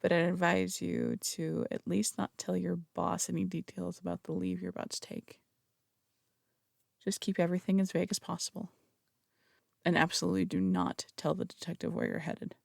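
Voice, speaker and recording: A woman's voice: fairly low pitch, expressive, moderate pace, gravelly, darkish tone, no room sound, clean studio sound, exaggerated voice. A woman playing a flirtatious, theatrical female phantom thief in an intimate audio roleplay, coaxing and teasing, with slight stammers. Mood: reassuring. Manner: coaxing, teasing, hesitant stammers between polished phrases. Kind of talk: intimate audio roleplay, acted monologue to listener.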